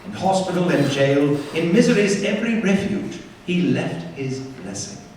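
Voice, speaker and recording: A man narrating a ghost tale as scripted radio play, measured and theatrical. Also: fairly high pitch, sing-song, a little mellow, recorded from a distance. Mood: storytelling. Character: measured male storyteller of a Victorian ghost tale. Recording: very echoey hall from afar, faint noise.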